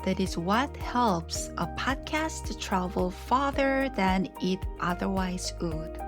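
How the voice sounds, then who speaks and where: A middle-aged woman hosting her podcast, reading scripted narration in a reflective, unhurried way, her voice a little scratchy from illness. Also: mid-range voice, animated, music in the background. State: slightly scratchy voice after strep throat. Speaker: podcast host.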